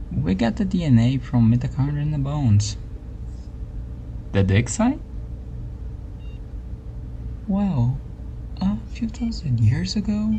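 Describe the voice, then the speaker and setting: A woman playing a calm, quietly eerie scientist in a spooky ASMR roleplay, soft and breathy, close to a whisper, with a deliberate hushed delivery. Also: rumbling low, a little hiss or hum, heavily acted, low-quality recording. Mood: matter-of-fact. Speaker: researcher giving a briefing.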